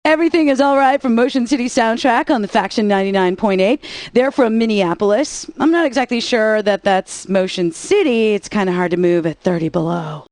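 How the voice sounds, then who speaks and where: A woman's voice: mid-range voice, brisk, few pauses, darkish tone, no echo, natural voice. A woman playing a snarky rock radio DJ. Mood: sassy. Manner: teasing, dry and sarcastic. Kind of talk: scripted game radio DJ banter.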